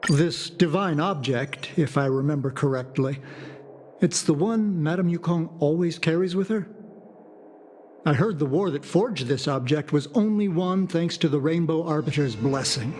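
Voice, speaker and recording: Man as courteous aide, lighter-pitched voice. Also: normal speed, darkish tone, a little hiss or hum, close to an everyday voice. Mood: thoughtful. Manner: courteous, formal. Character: polite, dutiful male aide, gently concerned. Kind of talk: video game voice acting. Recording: clean game dialogue over background music.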